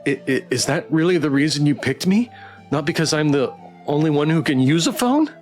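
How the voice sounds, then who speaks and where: A man's voice: on the high side, lively intonation, a little fast, a little mellow, faint music behind, lightly acted. A man voicing a mild, awkward suburban monster who acts like a normal guy in a visual novel. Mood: defensive.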